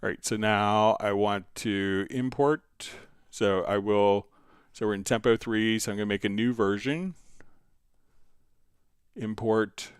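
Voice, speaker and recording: Male live-stream host for casual software walkthroughs; smooth lowish voice, working through steps as he talks. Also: steady tone, slow pace, no room sound, studio quality. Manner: explains step by step while working. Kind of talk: casual software tutorial, answering viewer questions.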